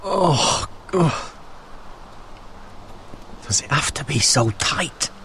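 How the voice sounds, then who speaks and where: A man's voice: a little high, expressive, extremely slow, pushed and bright, slight background noise, heavily acted. An actor voicing a wounded young wildling man in a video game, defensive and grumbling. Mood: pained, complaining. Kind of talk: dramatic video game acting.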